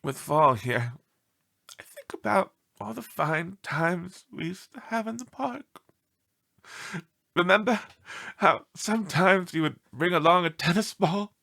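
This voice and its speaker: Man playing a melodramatic, animated storybook dog. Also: high voice, a little slow, voice pushed up, exaggerated voice. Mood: wistful, pleading. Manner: theatrical, mournful, with breathy whispered sighs. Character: melodramatic, self-pitying pet dog writing plaintive letters home. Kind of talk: storybook read-aloud character.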